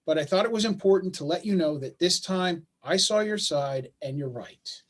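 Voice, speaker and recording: Father, male actor, high-pitched voice. Conciliatory, self-deprecating, halting, working-class dad in naturalistic stage drama. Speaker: stage actor in a play reading. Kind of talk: staged play reading, naturalistic dialogue. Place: remote video call.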